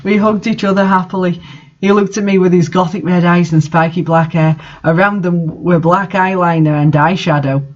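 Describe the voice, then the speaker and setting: A woman's voice: fairly low pitch, fairly even intonation, moderate pace. A woman giving a dramatic, mocking amateur reading of fan fiction, with her own commentary. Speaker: reader. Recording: dull lo-fi sound.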